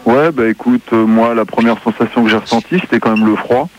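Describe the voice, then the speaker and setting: A man in his early twenties talks fast on a radio phone-in. He banters casually, with some noise on the line. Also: medium pitch, highs cut off. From Limoges, France. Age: early 20s. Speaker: phone-in caller.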